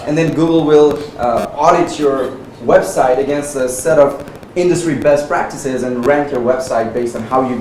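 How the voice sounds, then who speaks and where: A man from Nepal, in his thirties, speaking at a tech meetup in a relaxed, chatty way with lots of "uh" and "right?". His voice sits at a middle pitch and carries the echo of a big room. He sounds a good way from the mic, with clear background noise. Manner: conversational, frequent "uh" and "right?" tags. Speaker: conference speaker. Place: meetup venue, audience present. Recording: echoey room from far off, noisy.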